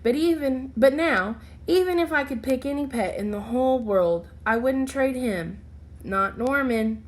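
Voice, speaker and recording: A woman reading a children's picture book aloud in an expressive, deliberate, animated storytelling manner. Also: high-pitched, a little hiss or hum.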